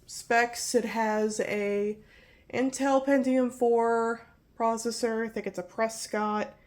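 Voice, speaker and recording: A young woman hosts a relaxed tech video, her voice nasal from pollen allergies. She talks in a loose, rambling way, doubling back to correct herself and apologize as she goes. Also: a little high, slow pace. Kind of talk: casual hardware walkthrough.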